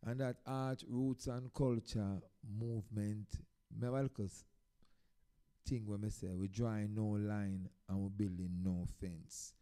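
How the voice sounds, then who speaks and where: A man's voice: lowish voice, fairly even intonation, measured pace, warm tone, clear, resonant tone. A man with a Jamaican accent speaking in Patois as a performing poet, telling stories to an audience in short phrases with emphatic swells. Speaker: dub poet performer introducing a live event. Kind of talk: informal stage talk, storytelling to an audience.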